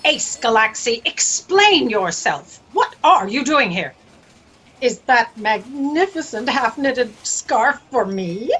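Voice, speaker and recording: A woman's voice: a little high, big pitch swings, fairly bright tone, husky, full character voice. A woman playing an imperious, self-absorbed female boss in a haughty, dramatic way for a comic sci-fi audio drama. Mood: haughty, demanding. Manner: haughty, dramatic, drawn-out delivery.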